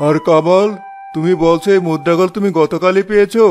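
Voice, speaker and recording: A man's voice: high-pitched, fairly even intonation, quick pace, darkish tone, faint music behind, natural voice. A man voicing a fair village judge in a cartoon tale, questioning formally and with composure. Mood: calm, stern. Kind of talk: cartoon story voice acting.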